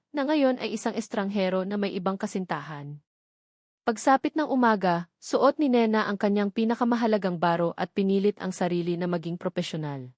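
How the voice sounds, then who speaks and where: A woman's voice: mid-range voice, a little mellow, no echo, clean studio sound. A woman reading a dramatic scripted narration of a romance story.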